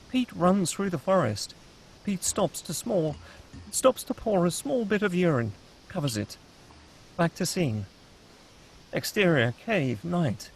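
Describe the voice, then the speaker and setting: A man's voice: on the high side, a little hiss or hum, rough recording. A man reading screenplay stage directions aloud as the narrator at a table read.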